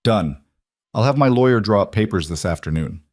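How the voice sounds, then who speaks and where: A man voices a remorseful, controlling mafia boss in an audiobook romance. He is a low-voiced husband, measured and insistent, in a dry recording. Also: animated, dry recording.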